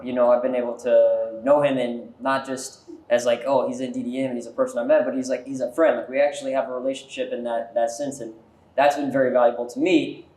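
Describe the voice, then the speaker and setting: A man's voice: mid-range voice, darkish tone. Man interviewing casually in an echoey room. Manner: informal, filler-heavy with frequent "like".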